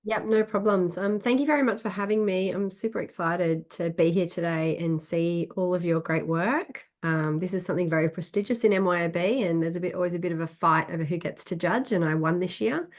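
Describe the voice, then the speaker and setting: A woman with a slightly low voice introduces herself as a judge on a video call. She sounds upbeat and warm and uses the odd filler. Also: fairly quick, few pauses. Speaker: competition judge, business executive.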